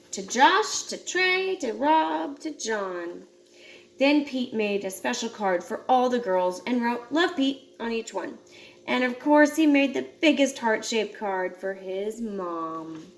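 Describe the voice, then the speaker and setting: A woman reading a children's picture book aloud in an expressive storytelling style. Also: high voice. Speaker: storybook reader.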